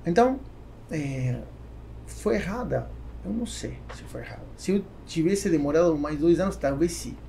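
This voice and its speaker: A man in his forties from Argentina with an Argentine Spanish accent, a native Spanish speaker answering conversationally in a podcast interview with halting phrasing. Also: fairly high pitch, lively intonation, gravelly, dark tone, a little hiss or hum. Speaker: entrepreneur, podcast guest. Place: small foam-padded podcast studio. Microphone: studio microphone on boom arm.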